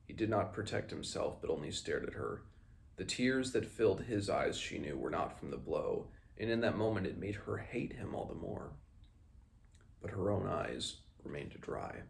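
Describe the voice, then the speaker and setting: A man hosting a read-along, reading a fantasy novel aloud and adding informal recap, measured in the reading and hesitant in his comments. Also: sits low, expressive, gravelly. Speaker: book read-along host.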